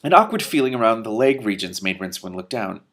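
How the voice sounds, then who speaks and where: A man's voice: lowish voice, sing-song, fast talker. A man reading a comic novel aloud, stumbling and restarting on words and breaking into disbelieving outbursts. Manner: breaks off narration with loud disbelieving swearing. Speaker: reader of a novel with commentary.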